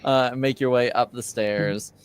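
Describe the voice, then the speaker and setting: A man's voice: mid-range voice, fairly even intonation, unhurried, a little hiss or hum. A man improvising casual game narration as game master in a loose, conversational way.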